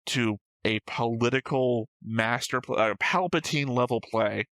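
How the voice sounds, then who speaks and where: A man's voice: medium pitch, measured pace, a bit bright, no echo, clean studio sound. A man co-hosting a reaction show, chatting casually and analytically, thinking aloud and swearing freely. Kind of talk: casual analytical chat about an anime episode.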